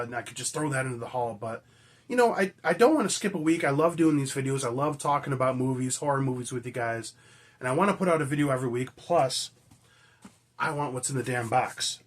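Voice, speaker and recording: A raspy man, hoarse from being sick, from Michigan, chatting enthusiastically and rambling as a movie-collecting vlogger. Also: mid-range voice, fairly bright tone. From Michigan, USA. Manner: enthusiastic, rambling, frequent fillers and mild swearing. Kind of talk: casual chat to camera.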